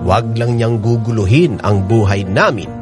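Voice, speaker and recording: A man's voice: lowish voice, normal speed. Man narrating dramatically over music. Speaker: narrator reading a letter sender's story. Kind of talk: scripted dramatic first-person narration. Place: radio studio. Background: background music.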